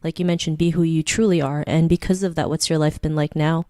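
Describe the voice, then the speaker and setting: Low, mellow-voiced woman hosting a podcast. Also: fairly even intonation, fast talker, no echo, studio quality. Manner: affirming, repeats thanks, frequent "you know" and "right?". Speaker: podcast host. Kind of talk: interview hosting.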